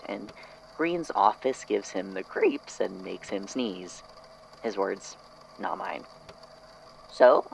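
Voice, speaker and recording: A man's voice: fairly low pitch, creaky, a little hiss or hum, heavily acted, poor audio. A man playing a casual, reluctant archivist in an audio drama, reading a witness statement aloud in an informal, hesitant way. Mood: awkward, reluctant. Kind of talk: audio drama podfic, conversational first-person storytelling.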